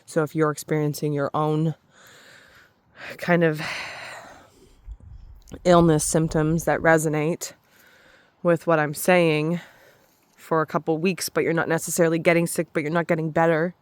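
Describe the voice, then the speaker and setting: A woman talking slowly and softly in a reflective spiritual vlog, pausing and restarting often, with a slightly raspy voice, alone in a forest. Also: low voice, little pitch movement, dry recording. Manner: soft, slow, hesitant, with many restarts. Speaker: spiritual vlogger.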